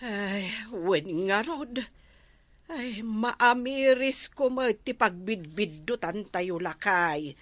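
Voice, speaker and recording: A woman with an Ilocano accent playing a gentle, motherly role in a family comedy sketch. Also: medium pitch, animated, takes it slowly, no room sound. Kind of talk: comedic family drama acting.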